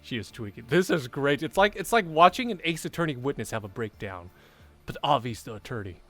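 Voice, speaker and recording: A man's voice: mid-range voice, expressive, fairly quick, bright, forceful tone, faint music behind. A man giving slangy, joking, casual livestream commentary on a game. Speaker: streamer playing a visual novel.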